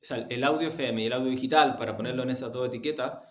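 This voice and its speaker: Male interviewee, Chilean accent, mid-pitched voice, explains points emphatically and rephrases, roomy echoing recording. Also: rapid-fire. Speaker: media executive being interviewed. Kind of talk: conversational interview answers.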